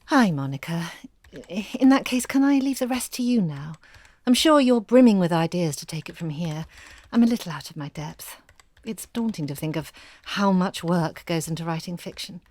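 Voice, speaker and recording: A shy woman writer, lowish voice, kinda unsure, dry close sound. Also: animated, moderate pace, darkish tone, no room sound. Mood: diffident. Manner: diffident and hedging. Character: modest, hesitant woman ghostwriter. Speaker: writer character. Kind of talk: audio drama acting.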